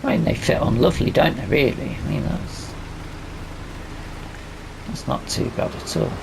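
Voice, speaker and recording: Gravelly British man commentating casually over noise. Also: mid-range voice, normal speed. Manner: thinking aloud, asking himself questions. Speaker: gaming video host. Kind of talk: casual let's play commentary. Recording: clear steady background noise.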